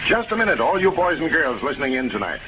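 A male radio announcer delivering scripted 1930s narration and sponsor pitches. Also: big pitch swings, fast. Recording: tinny 1930s radio broadcast, heavy noise.

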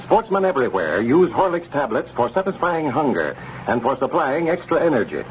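A man's voice: medium pitch, big pitch swings, fairly quick. A man announcing a scripted 1930s radio advertisement in a formal selling delivery. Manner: formal, sales-minded delivery. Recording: muffled 1930s radio recording, faint noise.

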